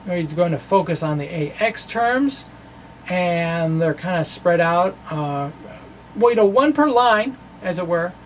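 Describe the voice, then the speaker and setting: A middle-aged American man giving an informal physics lecture to camera in a small room, explaining haltingly with trailing asides into a microphone on a stand. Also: high-pitched, animated, slight background noise. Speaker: physics video blogger. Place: small indoor room with bookshelves. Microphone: microphone on a stand close in front.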